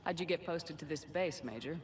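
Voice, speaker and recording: Actress as female military commander, businesslike, direct, steady, game dialogue, a little far off, faint noise. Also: low-pitched, fairly quick, close to an everyday voice. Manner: businesslike, probing. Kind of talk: video game cutscene acting. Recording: slightly distant, faintly noisy game capture.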